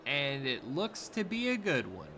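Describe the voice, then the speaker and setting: A man's voice: on the high side, sing-song, a little slow, background noise. A man with a Southern US accent giving laid-back, rambling sumo commentary, laughing easily. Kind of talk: casual live commentary.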